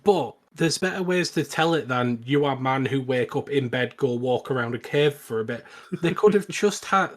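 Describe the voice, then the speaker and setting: A man's voice: medium pitch, expressive, normal speed, hardly any pauses. A man co-hosting a podcast, talking casually and with strong opinions about films, with some swearing. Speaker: podcast co-host. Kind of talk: casual film discussion and recap.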